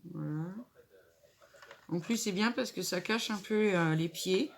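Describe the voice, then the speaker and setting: Slow-talking woman with a stuffy nose. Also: on the low side, gravelly, slight background noise. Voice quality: nasal. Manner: chatty, thinking aloud while gluing decorations. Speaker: craft vlogger. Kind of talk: casual crafting tutorial.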